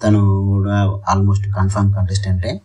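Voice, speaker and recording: A man's voice: low-pitched, monotone, moderate pace, darkish tone, slightly rough, far from the mic. A man with a Telugu accent presenting an entertainment news update as a channel host, addressing viewers politely.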